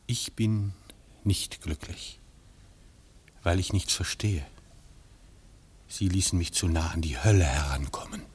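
A man's voice: sits low, unhurried, long pauses, slight background noise. An actor playing a troubled, bewildered man who speaks in a subdued, half-whispered way.

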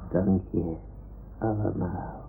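A man's voice: sits low, steady tone, slow pace, creaky, heavily acted. A man playing a sleepy, grumbling old toy lion with a drowsy, plaintive manner in a radio play. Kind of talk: 1960s-70s radio play acting. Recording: muffled, hissy old radio recording.

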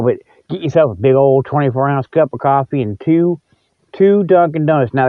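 A man's voice: a little high, no room sound, phone-quality sound. A man hosting a radio show with a casual, rambling comic monologue, speaking in a folksy, colloquial way and teasing his listeners. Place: cold spot, apparently outdoors.

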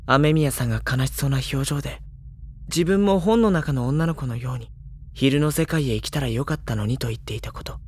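An actor playing a mild, bookish high school boy with dry retorts, narrating and talking in an audio drama. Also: normal speed, slight background noise, lightly acted. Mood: calm, reflective.

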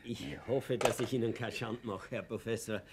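A man's voice: fairly low pitch, fairly even intonation, moderate pace, heavy background noise, lightly acted. A man in a German radio play, playing a cunning postman who feigns madness and rants against the regime with dramatic delivery. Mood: meek, sly. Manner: dramatic, swinging from meek to fervent declamation. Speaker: actor.

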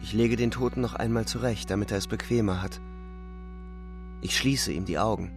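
An actor playing an anguished young soldier in a radio play, delivering a grave, halting, intense first-person monologue. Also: male voice, lowish voice, close to an everyday voice. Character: anguished young male soldier recounting a killing in a shell hole. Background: music.

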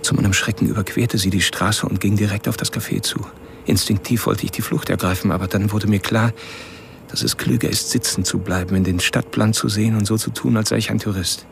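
An actor playing an obsessive killer, narrating his stalking in a deliberate, literary, confessional way in a crime radio drama. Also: lowish voice, fairly even intonation, vocal fry, slight background noise. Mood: tense, watchful.

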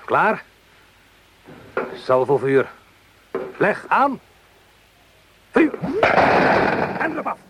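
An actor playing an anguished, protective French army corporal, once a farmer, with an earnest, deliberate delivery in a radio play. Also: male voice, high voice, wildly swooping pitch, full character voice. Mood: commanding. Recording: clearly noisy old radio play recording.

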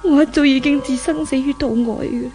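A woman plays a troubled young heroine in a 1970s Hong Kong radio drama, speaking Cantonese-accented lines in a very high voice. She is humble and easily awed, full of breathy, theatrical wonder, over background music. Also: fairly even intonation, fast talker, close to an everyday voice. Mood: fearful wondering.

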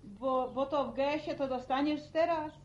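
Actress as high-voiced, fussy village mother. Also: fairly even intonation, normal speed, lightly acted. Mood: grumbling. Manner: scolding, folksy, suspicious. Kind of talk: Polish radio serial acting. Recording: dull, roomy old radio recording, faint noise.

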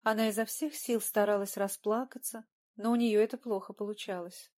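A woman reading first-person fiction as an audiobook narrator, with expressive storytelling. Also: medium pitch, fairly quick.

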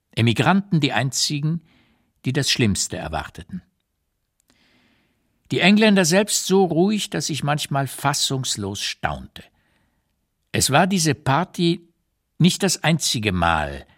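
A man playing an old émigré writer who recalls wartime years in a radio reading, with deliberate, emphatic, literary phrasing and an unsparing eye. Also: mid-range voice, heavily acted. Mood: wry astonishment. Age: older. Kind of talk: dramatised literary memoir reading, radio play.

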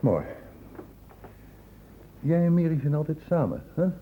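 A man playing a shrewd senior doctor in a Dutch radio play, brisk and probing, with a condescendingly paternal authority. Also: a little high, sing-song. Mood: probing, fatherly. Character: shrewd senior male doctor questioning a student, brisk, fatherly. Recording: thin phone-like old radio recording, hissy.

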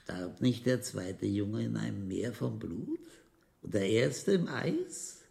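An ominous old monk of radio drama, proclaiming doom with menace. His voice is very creaky and swings wildly in pitch. He takes his time over each phrase. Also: big pitch swings, takes it slowly, a little mellow, full character voice. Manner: declamatory, menacing, dropping to whispers. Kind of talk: 1980s German radio drama acting.

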